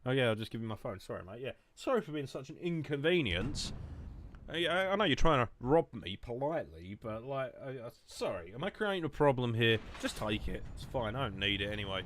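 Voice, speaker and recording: A man's voice: medium pitch, sing-song, a little fast, a bit bright, slight background noise. A man in his late twenties with a southern English accent, chatting casually and sarcastically as he livestreams a game. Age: late 20s. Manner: sarcastic, swearing banter. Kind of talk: casual rambling chat while gaming.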